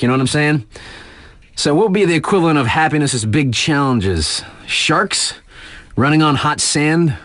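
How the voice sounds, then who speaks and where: An excitable yet easygoing snowboard radio DJ from a video game. His fairly high male voice moves in big, lively swings, recapping the action in loose slang with hesitations and punched words. The sound is dry and close, like a studio booth. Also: no echo. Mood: laid-back, wry. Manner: laid-back slangy delivery with hesitations and emphatic punch words. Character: enthusiastic laid-back male snowboard radio DJ, excitable fan. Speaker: radio DJ announcer for a snowboarding circuit. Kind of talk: hyped casual radio sports recap.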